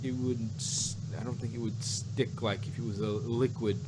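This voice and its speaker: A man's voice: medium pitch, steady tone, moderate pace, heavy background noise. A man in casual, speculative chat, rambling and repeating phrases as he thinks aloud.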